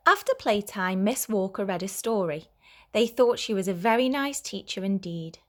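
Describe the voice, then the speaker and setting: A woman's voice: medium pitch, lively intonation, normal speed. Woman reading a children's storybook. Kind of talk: children's storybook read-aloud.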